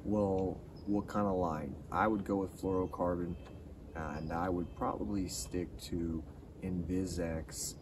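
A man's voice: deep voice, slow pace. A middle-aged man hosting a fishing channel, reviewing a lure to camera in a casual, rambling, enthusiastic way while sitting outdoors in a pine forest. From California. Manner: relaxed, rambling, enthusiastic about gear. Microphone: camera at a distance. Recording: distant outdoor mic with background noise.

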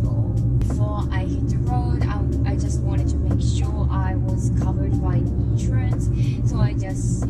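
A woman, chatting casually while driving, with many fillers. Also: on the low side. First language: Japanese. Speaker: vlogger and English teacher. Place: inside a car, driving. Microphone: camera on the dashboard. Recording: distant in-car mic with loud music.

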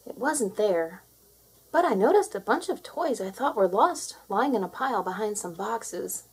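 A woman reading a children's story aloud in a dramatic, expressive storytelling manner. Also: medium pitch, normal speed, a little hiss or hum, poor audio. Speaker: storybook reader.

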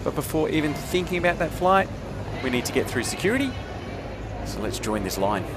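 A man's voice: a little high, sing-song, fairly quick, very noisy. A man narrating his travel vlog in a chatty, wry voice-over. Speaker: travel vlogger. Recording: music bed under the voice.

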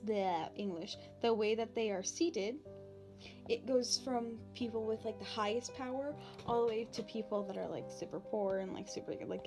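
A woman voicing a shy young demon princess in an ASMR roleplay, talking informally and haltingly to the listener. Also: mid-range voice, dark tone, natural voice. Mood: explaining. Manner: hesitant, halting, informal with long pauses. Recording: background music.

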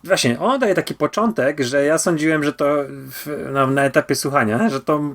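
A man's voice: on the high side, moderate pace, fairly bright tone. A man co-hosting a podcast, chatting through a review with frequent stammered restarts and repeated words for emphasis. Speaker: podcast host. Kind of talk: casual review discussion.

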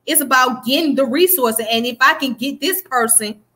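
Bright, high Southern woman lecturing. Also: normal speed. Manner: emphatic, preachy, keeps checking in with "okay?". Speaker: livestream history host. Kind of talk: casual livestream lecture. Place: small room indoors. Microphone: webcam close up.

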